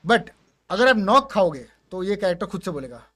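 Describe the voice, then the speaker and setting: High-pitched Indian man, casual gaming commentary. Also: very animated, normal speed, a bit bright. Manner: informal, slangy, mixes Hindi and English. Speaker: gaming content creator presenting his voice pack.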